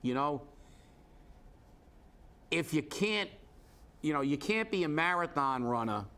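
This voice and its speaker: A man's voice: on the high side, expressive, takes it slowly, bright, forceful tone. A man with a head cold, stuffed up, hosting a local talk show and ranting with sarcasm and indignation. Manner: indignant, sarcastic, lots of restarts. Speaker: co-host.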